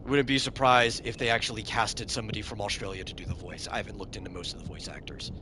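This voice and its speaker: A man's voice: fairly low pitch, fairly even intonation, fast talker, heavy background noise. A man streaming a game, chatting casually and answering his chat, often repeating a word as he starts a sentence. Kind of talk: casual commentary while playing a game.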